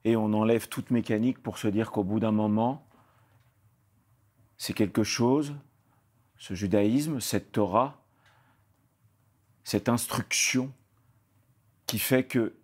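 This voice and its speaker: A man's voice: mid-range voice, darkish tone. A man interviewing a guest, summing up ideas in long linked phrases. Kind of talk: interview conversation.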